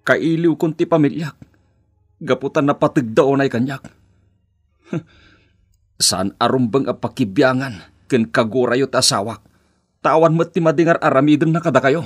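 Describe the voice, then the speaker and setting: An actor with an Ilocano accent playing a distressed grown son and devoted husband in a radio drama. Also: male voice, medium pitch, moderate pace, pauses often, husky, lightly acted. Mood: hurt, reproachful.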